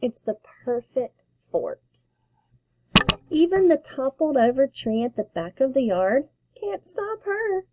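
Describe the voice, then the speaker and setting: Woman, teacher, children's read-aloud, slow, expressive, warm tone. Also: high-pitched, rough recording.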